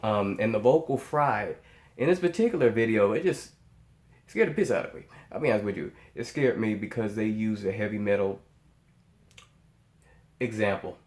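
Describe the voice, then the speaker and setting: An American man giving casual, off-the-cuff reaction commentary as a channel host, emphatic and repeating himself, often halting as he searches for words. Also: fairly low pitch, darkish tone. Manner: emphatic, repeats phrases in amazement. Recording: echoey, roomy sound.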